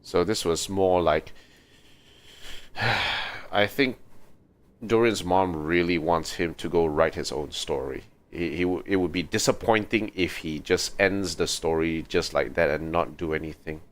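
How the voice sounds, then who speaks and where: A man livestreaming a game, thinking aloud in a casual, halting, musing way. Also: sits low, fairly bright tone. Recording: faintly noisy livestream.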